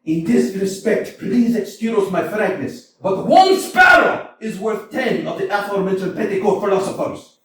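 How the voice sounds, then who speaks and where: An amateur actor playing a blustering, scornful landowner in a staged play reading, swinging between shouting and mock-tender crooning. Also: male voice, big pitch swings, normal speed, exaggerated voice. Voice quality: booming, theatrical. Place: small community theater stage. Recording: echoey hall from a distance.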